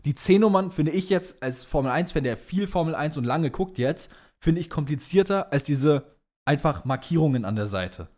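A man's voice: fairly high pitch, lively intonation, normal speed, darkish tone. A young man co-hosting a podcast, chatting casually and enthusiastically in colloquial, slangy language like a keen fan. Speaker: podcast co-host. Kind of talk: casual fan chat about motorsport.

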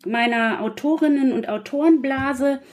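A woman's voice: high voice, normal speed. A woman talking casually as a guest author on a podcast, explaining things in a chatty, loosely structured way.

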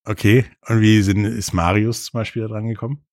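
A man's voice: on the low side, flat delivery, fairly quick, a little mellow, slight background noise. A man hosting an interview, asking casual questions with little jokes. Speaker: host.

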